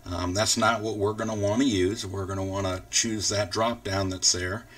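Low-pitched man giving a casual software tutorial. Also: fairly even intonation, normal speed, distant mic. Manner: informal, step-by-step explaining. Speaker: tutorial host. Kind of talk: casual screen-recorded software walkthrough.

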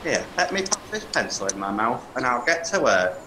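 A woman voicing a gentle, helpful male elf in a puppet show, musing hesitantly with oohs and hums. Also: a bass voice, very animated, a little fast. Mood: resourceful. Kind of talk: hobby puppet show acting. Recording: echoey room, clear background noise.

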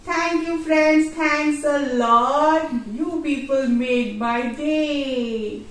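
A woman acts out a sweet little boy in a children's puppet show. The voice is very high and childlike, with very slow, drawn-out words in a strongly echoing room. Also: fairly bright tone, full character voice. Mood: grateful. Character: sweet young birthday boy, wistful, grateful. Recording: echoey room, distant mic, music behind.

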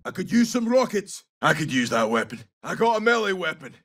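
A tough Scottish special forces soldier from a military video game. His voice is husky and crackles with gravel, swinging widely in pitch as he snaps out brisk callouts. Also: big pitch swings, a bit bright, distant mic, exaggerated voice. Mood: brisk, businesslike. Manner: clipped, brisk, urgent.

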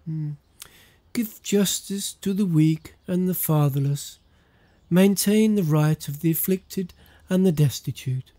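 A man acting a pious, measured village vicar in an audio drama. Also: fairly high pitch, warm tone. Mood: gently solemn.

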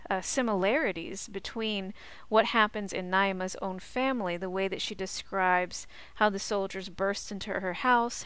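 A woman college instructor talking through slides in a measured, explanatory way and reading excerpts aloud. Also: medium pitch, a bit bright, smooth, dry recording.

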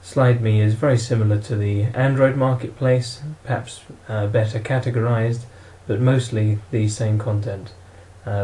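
A man's voice: on the low side, mellow, slight background noise. A man narrating an instructional product walkthrough in a plain, matter-of-fact way, as a demonstrator.

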